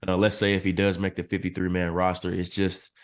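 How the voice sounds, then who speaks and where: A man analyzing football draft picks as a podcast guest, his voice a little hoarse and clearing his throat now and then. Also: sits low, brisk. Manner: steady analytical explaining. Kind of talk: casual podcast interview.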